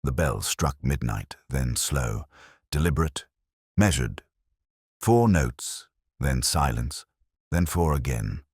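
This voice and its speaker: A man playing a weary, melancholy English police detective, narrating a radio mystery in a measured, literary, introspective manner. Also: extremely low pitch, lively intonation, husky, dry recording, studio quality, heavily acted. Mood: sombre, reflective. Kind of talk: slow literary first-person detective narration, radio drama.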